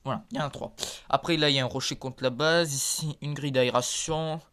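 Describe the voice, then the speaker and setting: A man with a head cold giving a halting, filler-heavy show-and-tell of his toy collection, filmed on a phone in a converted attic. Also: mid-range voice, steady tone, a bit bright, smooth. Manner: halting, filler-heavy, points things out one by one. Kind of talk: casual show-and-tell narration. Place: converted attic room with creaky floor. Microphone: phone camera held in hand.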